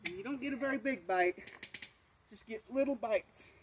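A man's voice: very high-pitched, moderate pace. An American man in his thirties or forties giving a casual, folksy hands-on tutorial, talking while he works. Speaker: do-it-yourself demonstrator. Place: outdoors in a cluttered rural yard. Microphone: camera a few feet away. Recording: outdoor camera audio, faint insect noise.

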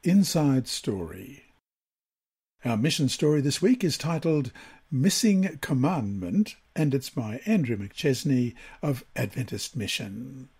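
A man from Australia reads a devotional study aloud. His voice sits at a middle pitch with a husky edge. He phrases deliberately, pausing between short groups of words as he works through the prepared text. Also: animated, darkish tone. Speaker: Bible lesson reader. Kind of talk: scripted devotional reading.

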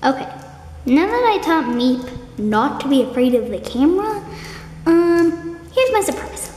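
A patient, bossy sock puppet played by a woman scolds and explains at an unhurried, slow pace. The voice is high and mellow, with sighs and hesitations, in an echoey room for a homemade puppet show. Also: sing-song. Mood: explaining. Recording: echoey hard room with background noise.

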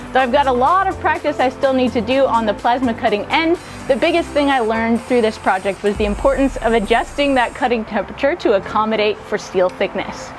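A woman, narrating a DIY vlog to viewers in an upbeat way. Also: fairly high pitch. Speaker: host of a DIY workshop channel.

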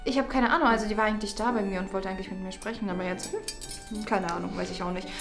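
A young woman playing a gloomy, awkward teenage goth schoolgirl in an improvised fan film, speaking haltingly and repeating herself. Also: medium pitch, steady tone, close to an everyday voice. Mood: worried. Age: young adult. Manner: halting, repetitive, hesitant. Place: furnished room at home. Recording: music under the voice.

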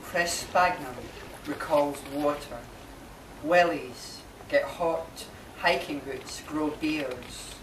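A man recites poetry very slowly, with pauses between short phrases. He stands well back from the mic in a marquee, so the sound is roomy, with clear background noise. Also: on the high side. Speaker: poet reading own work. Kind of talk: slow live poetry recital. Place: inside a marquee at a live reading. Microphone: camera mic a few metres away. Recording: distant, echoey, noisy camera recording.

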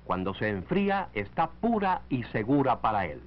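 1940s film narrator, man, expressive swooping pitch. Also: moderate pace. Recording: dull lo-fi 1940s film soundtrack.

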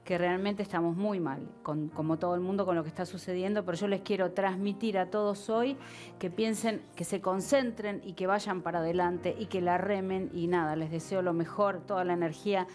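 Low-voiced Argentine woman host. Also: fairly even intonation, brisk, darkish tone, soft background music. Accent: Argentine Spanish. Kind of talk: TV panel talk. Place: TV studio set.

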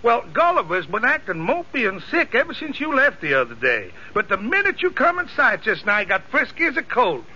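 An actor playing a folksy, patient riding instructor with a Southern US drawl, drily exasperated, in a 1940s radio sitcom. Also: male voice, very high voice, wildly swooping pitch, a little fast, few pauses, sharp tone, husky, exaggerated voice. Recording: muffled, hissy old radio recording.

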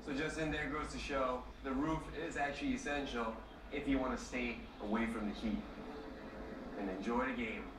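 A man's voice: mid-range voice, fairly even intonation, normal speed, background noise. A young man with a Malaysian accent, working as a field reporter and talking casually to camera. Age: young adult. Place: car and open stadium stands. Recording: roomy distant sound, loud music, TV re-recorded.

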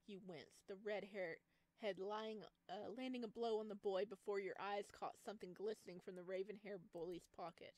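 A woman streaming a visual novel, reading the game text aloud and breaking in with expressive, exclaiming reactions. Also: mid-range voice, normal speed, no room sound. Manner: expressive, exclaiming in bursts between read passages.

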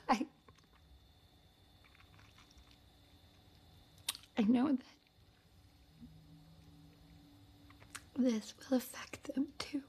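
A woman performing a dramatic film monologue as a woman confessing forbidden love, in a breathy, whispery, intimate voice. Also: lowish voice, exaggerated voice. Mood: emotional, yearning. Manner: hushed, confessional delivery.

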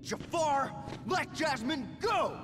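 An actor playing an earnest, brave young street-urchin hero in a video game, with emotive delivery. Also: male voice, very high voice, animated, slow, over loud music, full character voice. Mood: desperate. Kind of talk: video game cutscene acting.

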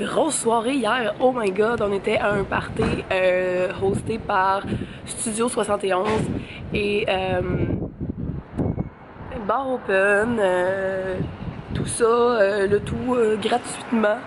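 A young woman from Quebec with a Québécois accent, vlogging excitedly at a convention with a stuffy cold, chatty and full of fillers. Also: mid-range voice, takes it slowly, heavy background noise. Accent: Québécois French. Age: young adult. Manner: bubbly, chatty, lots of fillers and English words. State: congested with a cold. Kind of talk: excited travel vlog. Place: busy convention halls and outdoors. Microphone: handheld camera at arm's length. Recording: loud crowd and music behind.

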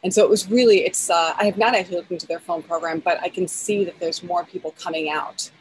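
A woman in her forties talks eagerly on a video call, her voice sitting a little low. She restarts her sentences often as her thoughts run ahead. Faint outdoor ambience hums behind her. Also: fairly even intonation, fast, slight background noise, rough recording. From United States. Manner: enthusiastic, many restarts and fillers, talks with her hands. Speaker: film editor, guest. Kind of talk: casual interview over video call. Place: outdoors in a backyard.

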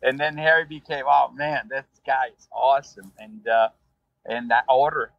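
A man from Brazil with a Brazilian Portuguese accent, a rideshare driver telling his story in a relaxed, rambling way with many fillers. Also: medium pitch, normal speed, creaky, no room sound, dull audio. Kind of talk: casual interview storytelling.

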